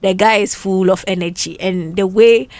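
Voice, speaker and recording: A woman's voice: medium pitch, moderate pace, darkish tone, no room sound. A woman, co-hosting a radio show with playful, teasing chat. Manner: playful, teasing, mixes in local slang. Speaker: radio co-host. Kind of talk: casual studio banter.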